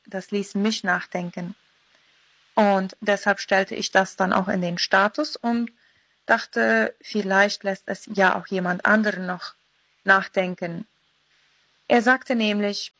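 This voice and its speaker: A woman's voice: medium pitch, a bit bright, no room sound, poor audio. A woman in her thirties giving a short personal devotional talk as a guest contributor. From Philadelphia (Mennonite colony).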